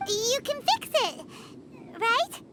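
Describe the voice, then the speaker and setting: Squeaky little fairy sidekick. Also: female voice, big pitch swings, takes it slowly, background noise, full character voice. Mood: eager, curious. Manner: cute, whiny, third-person self-reference. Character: tiny, excitable childlike fairy companion, easily startled. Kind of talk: video game voice acting. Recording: music playing under the voice.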